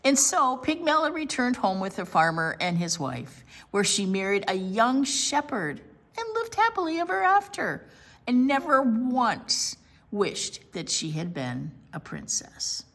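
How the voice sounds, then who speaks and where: A woman reading a picture book aloud to children in an expressive storytelling style. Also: fairly high pitch, a little slow.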